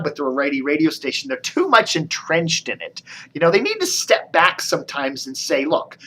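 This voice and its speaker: A man's voice: fairly high pitch, sharp tone. An American man giving casual, rambling commentary as a video blogger, with a wry, mildly sarcastic manner.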